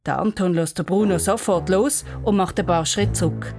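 Low-voiced Swiss woman narrating over music. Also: moderate pace. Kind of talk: scripted children's story narration.

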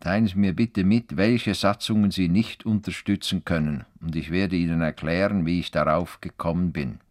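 An actor playing a stubborn, idealistic older farmer in a radio play, speaking earnestly and formally with a Swiss German accent. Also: male voice, low voice, fairly even intonation, moderate pace, natural voice. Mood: solemn, convinced. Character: stubborn, idealistic male farmer with grand plans.

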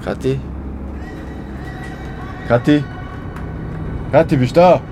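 An actor playing a bewildered young hiker with speech, exclaiming and calling out in a radio play. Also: male voice, mid-range voice, slow, noisy background. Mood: dazed, searching. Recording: music behind the voice. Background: music.

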